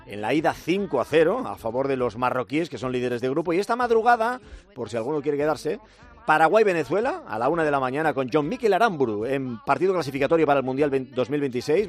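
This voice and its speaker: A man hosting a radio sports news bulletin, brisk and lively, with offhand asides. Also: mid-range voice. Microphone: studio microphone.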